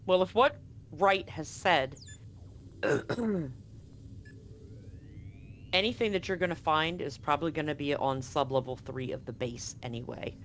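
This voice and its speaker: A man's voice: a little high, a little slow, pauses often, a bit bright, a little hiss or hum. A man narrating a live tabletop role-playing game as game master, explaining things conversationally. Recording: music playing behind the voice. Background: music.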